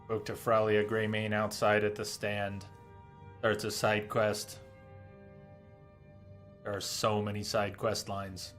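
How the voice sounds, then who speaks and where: A man's voice: on the low side, monotone, slow, pauses often, a bit bright, soft background music. A man who streams games, talking through a playthrough and reading lore aloud to his viewers. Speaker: game streamer. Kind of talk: live game commentary and reading in-game lore aloud.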